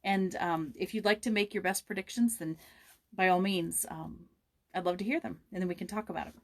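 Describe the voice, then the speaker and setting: A woman's voice: fairly low pitch, fairly even intonation, a little fast. A woman chatting casually as host and narrator of a read-aloud, informal and thinking aloud as she goes.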